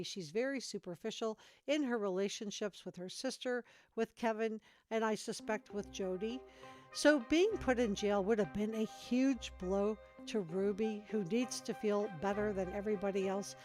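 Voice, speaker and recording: A woman's voice: medium pitch, darkish tone. A woman host giving analytical commentary on clips. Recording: music bed behind voice. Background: background music.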